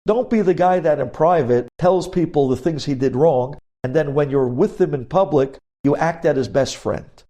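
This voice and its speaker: A man's voice: fairly high pitch, normal speed, few pauses, dark tone. A man speaking as a rabbi giving a lesson on religious law, emphatic, repeating key phrases and posing rhetorical questions.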